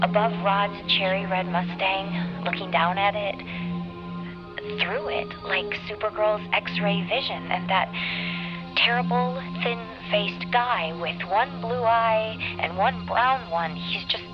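Dull lo-fi tape of a woman playing a scared girlish teen in a trance, slow and halting, audio drama. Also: mid-range voice, lightly acted. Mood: dazed, horrified. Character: naive, scared high school girl in hypnotic trance. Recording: dull lo-fi tape playback, music behind.

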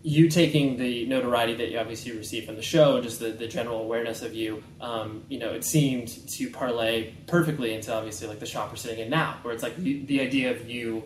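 Rambling interviewer in an echoey shop. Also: male voice, mid-range voice, fairly even intonation, quick pace, hardly any pauses, slight background noise. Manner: rambling, chatty questions. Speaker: interviewer, podcast host. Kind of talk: casual interview conversation. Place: tattoo shop. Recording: echoey room.

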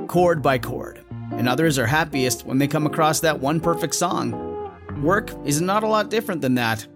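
A man delivering a scripted advertisement in a conversational style. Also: on the high side. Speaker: advertisement voice-over.

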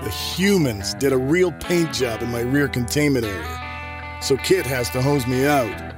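A man as a dry, mid-pitched transport robot. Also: expressive, measured pace, natural voice. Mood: matter-of-fact. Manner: wordy, dry, matter-of-fact. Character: sardonic, philosophizing male flying transport robot, Influx. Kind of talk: audio comedy acting, mock-documentary. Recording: music playing behind the voice. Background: music.

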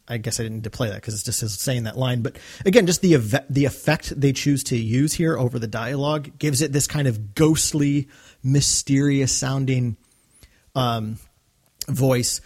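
A man's voice: mid-range voice, normal speed, a little mellow. A man co-hosting a podcast in casual fan discussion, enthusiastic and rambling. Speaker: podcast co-host.

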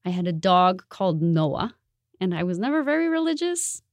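A woman's voice: lowish voice, very animated, normal speed, dry recording, clean studio sound. A woman in her thirties from Colombia, a designer answering interview questions in an earnest, reflective way. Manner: earnest and reflective, with occasional laughs.

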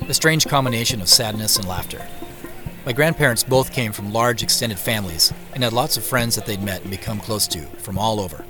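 A curious, reflective American man in his forties, played by an actor, narrates an audio drama in the first person. His voice sits on the low side, and soft music plays behind him. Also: brisk, natural voice. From Seattle, United States. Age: 40s. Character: reflective, curious grandson recounting a family mystery.

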